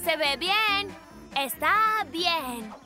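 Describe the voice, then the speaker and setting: Woman as a high-voiced, earnest little bear cub. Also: lively intonation, very slow, music in the background, full character voice. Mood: relieved. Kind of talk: dubbed children's cartoon acting.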